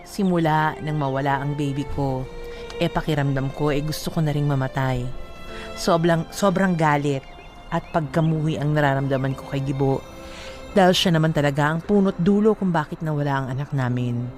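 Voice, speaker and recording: A woman's voice: deep voice, normal speed, darkish tone, soft background music. A woman co-hosting a radio advice show, reading a listener's letter and then commenting emphatically, mixing in English phrases. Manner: emphatic, mixes English into talk.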